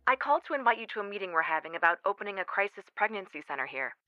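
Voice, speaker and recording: A woman's voice: medium pitch, sing-song, racing, no room sound, faint music behind. A woman playing a friendly, eager caller, heard through a telephone effect in a radio drama. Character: brisk, friendly woman making an invitation. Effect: telephone filter. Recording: dull telephone line effect.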